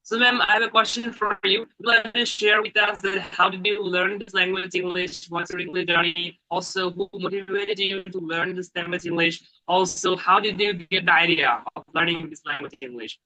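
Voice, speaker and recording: A man's voice: high-pitched, steady tone, moderate pace, hardly any pauses, bright, forceful tone, rough recording. A man from India co-hosting an English practice channel, asking formal, polite questions in a video call chat.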